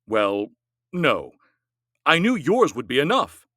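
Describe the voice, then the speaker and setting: A man's voice: medium pitch, wildly swooping pitch, takes it slowly, fairly bright tone, no echo, studio quality, full character voice. A man voicing a stingy husband in a storybook, who groans and blusters. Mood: grumbling. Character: stingy, grumbling village husband.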